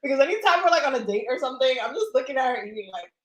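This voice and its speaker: A woman's voice: high voice, animated, rapid-fire, echoey room, a little hiss or hum. A woman hosting a casual tarot live stream who chats and laughs while she eats. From Dominican. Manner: chatty and laughing, talks while eating.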